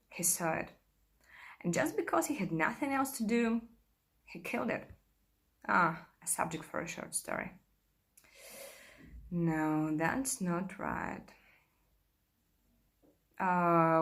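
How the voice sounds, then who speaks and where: Woman acting fragile worn out young actress in a stage monologue, lowish voice, real slow n halting, emotional. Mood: distracted, haunted. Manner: halting, emotional, drifting into breathy whispers. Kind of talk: modern theatrical monologue played as a video call.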